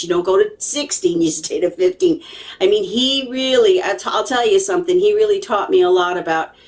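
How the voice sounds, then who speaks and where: Chatty lady psychic telling a story, mid pitch, rambly and emphatic, keeps checking ur listening, bit far from the mic. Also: a little mellow. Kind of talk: casual, animated storytelling to camera.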